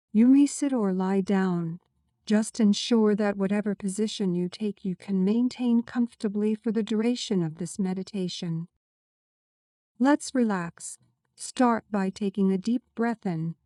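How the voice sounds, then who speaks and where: Slow, mellow woman guiding meditation. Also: mid-range voice, no echo, studio quality. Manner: calm, soothing, deliberate delivery. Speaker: guided meditation narrator. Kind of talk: scripted guided meditation with affirmations.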